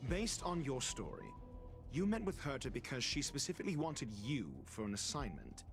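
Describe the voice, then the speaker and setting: A man's voice: medium pitch, sing-song, normal speed, music in the background. An actor playing an arrogant, impatient male scholar in a video game, questioning with condescension and sarcasm. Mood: skeptical, probing. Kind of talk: scripted video game voice acting.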